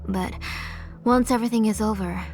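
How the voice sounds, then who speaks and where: A woman's voice: medium pitch, moderate pace, lightly acted. A woman playing a grieving, obsessed mother in a dramatic video game scene, speaking in a solemn, confessional manner. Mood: resolute sorrow. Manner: solemn, confessional, trailing into hushed breaths. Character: grieving, obsessed mother, soft-spoken and resolute. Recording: game music behind voice.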